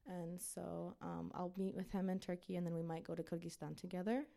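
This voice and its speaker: A woman's voice: fairly low pitch, flat delivery, a little fast, vocal fry, dark tone. A woman from Uzbekistan, a missionary, talking earnestly and casually to a church group with many fillers. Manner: earnest, chatty, fillers like "you know".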